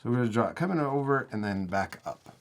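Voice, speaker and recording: A man's voice: medium pitch, expressive, quick pace. A man hosting a casual step-by-step art tutorial, giving encouraging, simple instructions for children. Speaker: host teaching drawing to children.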